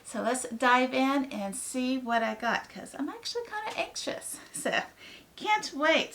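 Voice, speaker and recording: Woman, raspy, hoarse from a cold, gravelly, slightly high voice, chatty unboxing vlog, faint background hiss. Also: a bit bright. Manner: chatty, rambling, many ums. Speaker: book vlogger. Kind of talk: casual unboxing chat.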